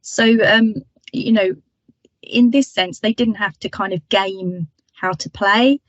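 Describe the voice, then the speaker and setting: A woman lecturer with a husky voice giving a webinar talk, chatty and full of ums and restarts. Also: mid-range voice, steady tone, slow, no echo, dull audio.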